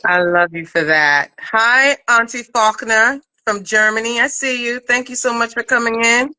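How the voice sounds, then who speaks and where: A woman's voice: on the high side, normal speed, sharp tone, poor audio. A middle-aged woman hosting a casual live chat in an effusive, affectionate manner. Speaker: podcast writer-director hosting a live chat.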